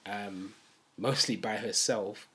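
A young man with a London accent speaks in a fairly low voice. He talks casually and conversationally, like a co-host chatting to viewers and a friend. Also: steady tone, slow, a bit bright. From East London, UK. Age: young adult. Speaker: video co-host. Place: small furnished room, indoors.

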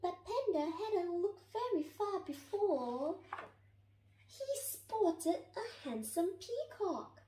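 A young woman presents a children's read-aloud in a high, soft-edged voice. Her storytelling is expressive and deliberate, with very lively pitch. The room adds a noticeable echo. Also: far from the mic, a little hiss or hum. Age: young adult. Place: small indoor room with bare wall. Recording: roomy indoor echo.

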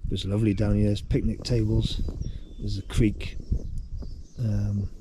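A man's voice: fairly low pitch, little pitch movement, unhurried, background noise. A middle-aged man chatting casually to his camera as a hiking vlogger, recorded outdoors. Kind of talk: casual video diary chat. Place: outdoors on a trail and at a campsite. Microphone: action camera at arm's length or close by.

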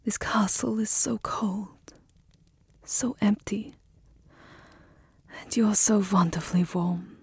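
A woman's voice: mid-range voice, no echo, exaggerated voice. A woman voicing a lovesick immortal vampire countess in a tender, intimate, pleading ASMR roleplay. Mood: wistful, weary. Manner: breathy, intimate, pleading, drawn-out phrasing.

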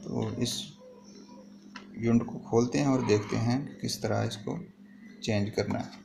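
A man's voice: mid-range voice, fairly even intonation, a little slow, vocal fry. A man explaining a hands-on repair step by step in a plain, improvised way, like a tutorial host. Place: indoor repair workbench. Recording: noisy home-made recording with clicks.

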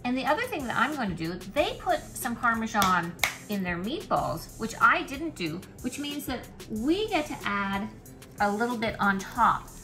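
A woman's voice: fairly high pitch, big pitch swings, moderate pace. A middle-aged woman chatting casually as she cooks in her home kitchen, thinking aloud while she works. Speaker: cooking vlogger. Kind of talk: casual cooking demonstration. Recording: echoey kitchen with background music. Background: music.

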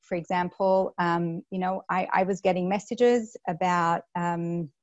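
A woman's voice: lowish voice, steady tone, a little slow, no echo, lo-fi sound. A woman in her thirties with an Australian accent, giving an earnest webinar talk to teachers with many fillers and restarts, on an earphone mic over a video call. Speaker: school educator on a panel. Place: small room indoors.

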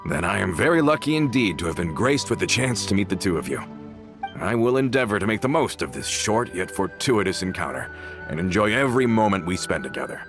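Loyal, well-mannered henchman, game role: low-pitched, expressive, formal phrasing, music underneath. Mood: earnest, grateful. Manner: polite, formal, measured wording. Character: courteous, loyal adult male henchman to a lady boss. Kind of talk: video game character acting. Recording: music behind the voice.

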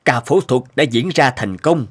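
A man voicing a grave middle-aged doctor in a cartoon drama, with a solemn, measured manner. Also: mid-range voice, expressive, lightly acted. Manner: slow, solemn. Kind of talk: animated drama voice acting.

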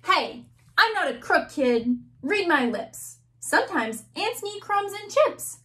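A woman reading a picture book aloud, voicing a small male ant who pleads not to be squished, slow and sing-song. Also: extremely high pitch, very animated, bright, forceful tone, voice pushed up, full character voice. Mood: indignant.

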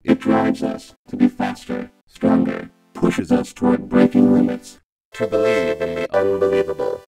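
A man's voice: deep voice, a little slow, creaky, loud music behind the voice, low-quality recording. A man reading a dramatic motivational voice-over through a robotic synth vocoder. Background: synthesizer tones.

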